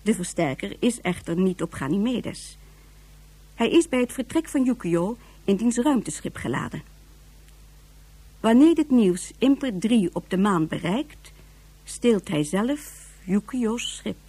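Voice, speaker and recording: A woman's voice: medium pitch, expressive, measured pace, warm tone, breathy edge. A woman giving scripted narration for a science-fiction radio serial. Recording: faint music behind.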